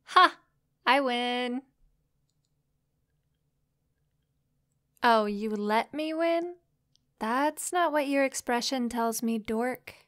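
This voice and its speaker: Friendly young succubus played by a woman, smooth and highish, playful, for intimate roleplay audio. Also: takes it slowly. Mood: playful, competitive. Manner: soft, playful, sweetly inquisitive. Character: friendly, curious young female succubus new to human life. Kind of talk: intimate roleplay audio drama, addressed to the listener.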